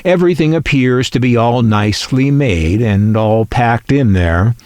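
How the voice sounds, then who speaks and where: Slow talking guy explaining collectibles, kinda wry, lots of up and down in his voice, really dry sound. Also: medium pitch, expressive, darkish tone, no room sound. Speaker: collector presenting a vintage radio. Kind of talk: measured explanatory narration.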